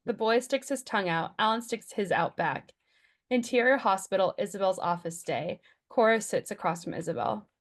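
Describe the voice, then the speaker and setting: A woman's voice: medium pitch, moderate pace. A woman narrating stage directions from a script at a table read.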